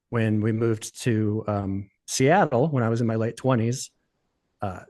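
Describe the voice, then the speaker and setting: A man in his forties from the United States, chatting as a podcast guest in a relaxed, rambling way, with many fillers. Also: medium pitch. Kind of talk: casual interview chat.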